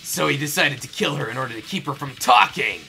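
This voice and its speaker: Man acting an earnest, forceful boy detective. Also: on the high side, animated, fast, gravelly, heavily acted. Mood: explaining. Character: earnest teenage boy detective building an accusation. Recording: game music behind.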